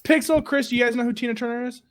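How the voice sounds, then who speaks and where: A man with a high voice banters as a podcast co-host. He talks in a teasing, animated way over clearly audible noise. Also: racing. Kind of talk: casual chat, bantering. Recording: noisy online call recording.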